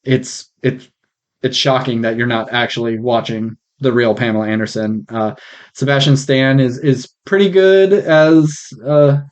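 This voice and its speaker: A man's voice: medium pitch, measured pace. Casual, frank male podcast host. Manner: informal, frank, joking. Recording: rough podcast mic audio.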